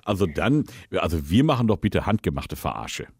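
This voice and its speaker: A man's voice: sits low, sing-song, normal speed, gravelly, a little mellow, breathy edge, no echo, studio quality. A man talking casually and conversationally as the host of a prank call show.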